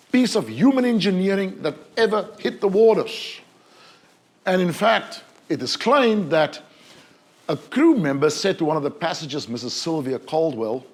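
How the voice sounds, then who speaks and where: A man from South Africa giving a keynote talk at a conference, measured and questioning, slowing down to stress key words. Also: on the high side. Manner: measured, questioning, slows for emphasis. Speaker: conference speaker. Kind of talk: keynote presentation. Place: conference hall.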